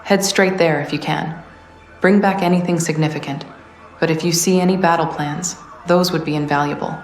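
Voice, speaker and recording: A woman playing a tough, weary resistance veteran who hands out missions in a video game, speaking plainly and in a measured way. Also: low voice, steady tone, reverberant, soft background music, natural voice. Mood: firm, matter-of-fact. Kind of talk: video game character dialogue.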